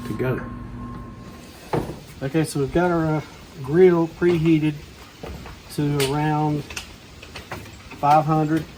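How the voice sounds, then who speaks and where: A man's voice: on the high side, noisy background. A man, hosting a home cooking show and walking viewers through making pizza in a folksy, instructive way.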